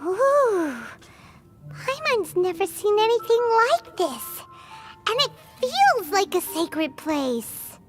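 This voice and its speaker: A woman voicing a tiny childlike fairy companion in an anime video game, squeaky, cheeky and given to indignant exclamations. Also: very animated, slow, heavily acted. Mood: excited, awed. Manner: exclaims, speaks of herself in third person. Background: game music.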